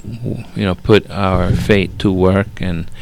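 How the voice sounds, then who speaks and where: A middle-aged man raised in Italy, sharing his faith in a halting way with many fillers and restarts. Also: low voice, steady tone, gravelly, poor audio. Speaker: guest father. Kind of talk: faith testimony, casual talk.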